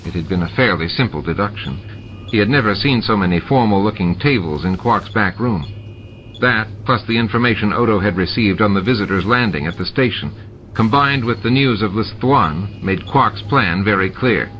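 A man reading a novel aloud in a steady storytelling manner, his voice low and bright-edged. The recording is dull and lo-fi, with faint music behind him. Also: moderate pace, a little hiss or hum. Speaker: audiobook reader. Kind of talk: scripted narration.

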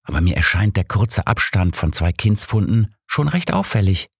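A man voicing a lively, outspoken younger woman in an audiobook, with an animated, friendly manner. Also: deep voice, normal speed, breathy edge, no room sound, studio quality, exaggerated voice. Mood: earnest, concerned. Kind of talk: audiobook character voice.

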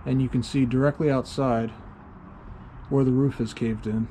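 Male urban-explorer vlogger, warm low-key tone, musing walkthrough on site, faint ambient hiss behind. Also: fairly even intonation, moderate pace. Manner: musing, observational, thinks aloud. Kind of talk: casual on-location walkthrough narration. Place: inside an abandoned train station.

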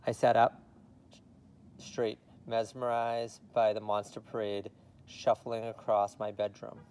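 A man's voice: on the low side, level tone, slow, darkish tone, a little hiss or hum. A man, reading a children's story aloud expressively. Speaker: read-aloud reader.

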